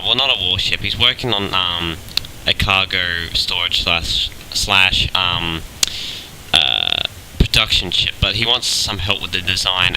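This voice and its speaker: A young man with a cold, talking through his game in a relaxed, halting way with many ums. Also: low voice, a little hiss or hum, poor audio. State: has a cold. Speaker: gaming video host. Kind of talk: casual gameplay commentary. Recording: game sounds clearly behind.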